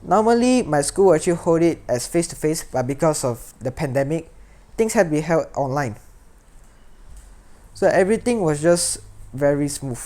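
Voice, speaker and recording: A man's voice: a little high, animated, moderate pace, mellow. A teenage boy with a Singaporean accent, earnestly sharing his own story and often restarting or repeating words. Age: teens. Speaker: secondary school student. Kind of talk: personal talk to camera.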